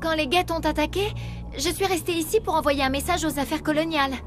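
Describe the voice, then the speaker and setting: An actress playing an earnest, guilt-ridden young researcher in a dramatic game dub, speaking anxiously. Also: female voice, high-pitched, fairly even intonation, fast talker, music in the background, natural voice. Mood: remorseful, anxious.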